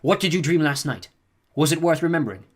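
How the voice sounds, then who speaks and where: A man's voice: on the high side, animated, quick pace, voice pushed up. A man voicing a stern, commanding old woman who scolds sharply in an audiobook reading. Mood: probing. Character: stern imperious old woman, a reverend mother.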